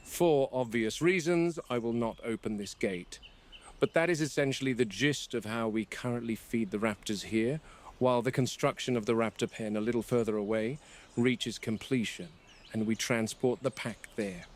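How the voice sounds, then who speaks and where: A man playing a serious game warden at a dinosaur park, recording a formal, measured, explanatory log. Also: medium pitch, natural voice. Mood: matter-of-fact. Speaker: animal handler. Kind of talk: scripted video log narration.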